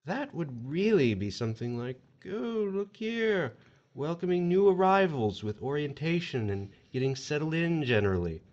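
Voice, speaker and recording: An actor playing a suave, formal afterlife agent, polished and theatrical, in an audio horror drama. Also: male voice, a little high, sing-song, moderate pace, a little mellow, lo-fi sound. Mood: smooth, stern.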